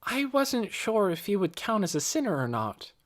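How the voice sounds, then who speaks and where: Man putting on an eager, high-pitched young girl. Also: sing-song, moderate pace. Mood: eager, earnest. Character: eager, earnest young girl confessing what she saw. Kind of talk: audiobook character voice.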